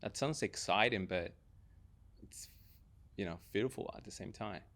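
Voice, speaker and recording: A man's voice: lowish voice, a little slow, gravelly, a bit bright. A young man from Argentina with an Argentine Spanish accent, talking reflectively as a podcast guest. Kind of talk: casual, reflective personal conversation.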